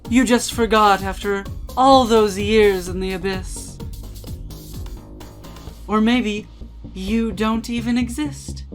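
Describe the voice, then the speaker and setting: Demon fly queen played by a woman: playful, grand, theatrical game-style villainess, slow delivery over soft music. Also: medium pitch, steady tone, lightly acted. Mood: teasing, musing. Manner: theatrical, drawn-out, grandly welcoming. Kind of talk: dramatic reading of game dialogue.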